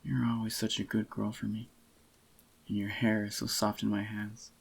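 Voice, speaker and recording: Low, soft-spoken man playing a doting boyfriend. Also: flat delivery, gravelly, breathy edge. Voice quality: slightly groggy voice. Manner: intimate, doting, unhurried murmur. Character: adoring possessive young boyfriend, tender and clingy. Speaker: ASMR voice actor.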